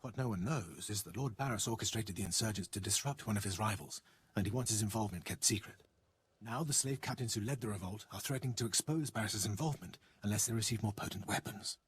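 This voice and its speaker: An actor playing a dutiful, deferential military commander in a video game, giving a formal, brisk briefing. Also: male voice, fairly low pitch, slightly rough. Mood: businesslike.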